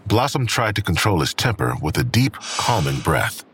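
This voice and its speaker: A man's voice: deep voice, sing-song, normal speed, fairly bright tone, slight background noise. A man reading scripted dramatic narration for an audio drama.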